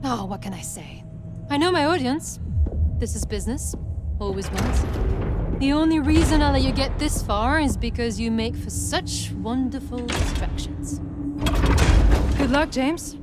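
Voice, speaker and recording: A woman's voice: high voice, expressive, a little slow, loud music behind the voice. An actress playing a cool, double-crossing female villain in a video game cutscene, mocking and self-assured. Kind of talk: cinematic video game acting.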